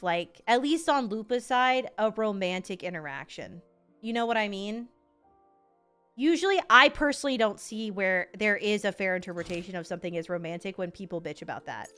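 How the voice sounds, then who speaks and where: A woman's voice: mid-range voice, a bit bright. A woman streamer giving casual live commentary on a game in a playful, candid, sweary way.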